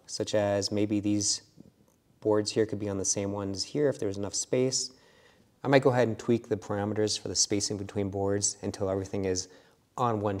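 A middle-aged man with a fairly low voice explains a woodworking software workflow. He speaks in a relaxed, explanatory way and often fills gaps with "uh." A lapel mic picks him up inside his workshop. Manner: relaxed, explanatory, with frequent fillers. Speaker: woodworking instructor. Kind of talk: software tutorial walkthrough. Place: wood workshop indoors.

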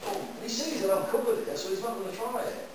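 A man's voice: sits high, fast talker. An older man teaching a karate class in a chatty, loose way, often checking with "yeah?", recorded by a camera far off in a large room. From England. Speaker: karate instructor. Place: large echoey indoor dojo. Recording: echoey hall from far off, noisy.

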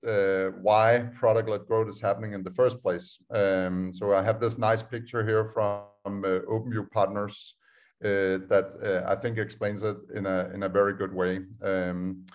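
A man's voice: sits low, darkish tone. A man in his thirties with a Danish accent, giving a casual online presentation on a video call, with many filler sounds as he explains. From Denmark. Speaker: startup co-founder giving a talk. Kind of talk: online presentation over screen share.

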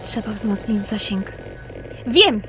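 A woman playing a tense, urgent female technician in a fantasy audio drama, strained and excitable. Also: medium pitch, slow, over loud music, rough recording. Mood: frantic.

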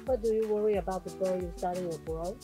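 A woman's voice: medium pitch, fast, over music. A woman asking an interviewee short, plain questions off camera.

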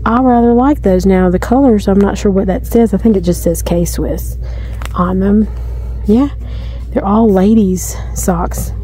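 Middle-aged woman, casual shop-along vlogger; mellow, chatty, easily delighted; phone in hand, faint store noise. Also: mid-range voice, measured pace, poor audio. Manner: chatty, easily excited, thinking aloud. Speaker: shopping vlogger. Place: inside a large discount store. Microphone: handheld phone camera filming her hands.

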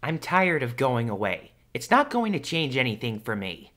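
Man acting young male hedgehog, medium pitch, anxious, earnest, pleading, audio drama. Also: big pitch swings, moderate pace, a bit bright. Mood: weary, earnest.

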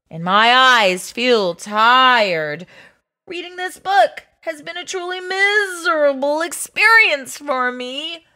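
Lady doing a grumpy storybook kid who hates reading, slow dramatic whining, bright forceful voice. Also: sits high, pitched above the performer's own voice, exaggerated voice. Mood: weary, reluctant. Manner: melodramatic, complaining, drawn-out emphasis. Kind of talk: storybook read-aloud.

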